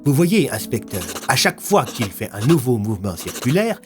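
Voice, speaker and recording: A man's voice: medium pitch, big pitch swings, moderate pace, music in the background, natural voice. A man voicing an enthusiastic, insistent police colleague in an audio story. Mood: eager insistence. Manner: insistent, eager.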